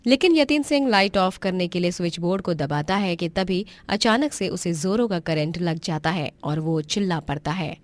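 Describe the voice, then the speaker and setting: A woman's voice: lowish voice, expressive, moderate pace, hardly any pauses, no room sound. A woman storyteller giving a scripted narration of a moral tale.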